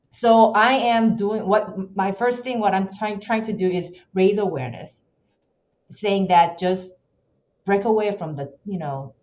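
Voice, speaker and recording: A woman's voice: mid-range voice, a little mellow, highs cut off. A woman with a Korean accent, a guest talking passionately in an interview, often restarting her sentences. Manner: emphatic, passionate, frequent restarts. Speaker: podcast guest. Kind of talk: casual interview talk.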